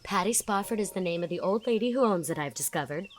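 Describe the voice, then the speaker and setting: A woman's voice: mid-range voice, animated, fast talker, faint music behind, natural voice. A woman playing a chatty, effusive young society woman in an audiobook drama, leaning on words for dramatic emphasis. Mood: confiding.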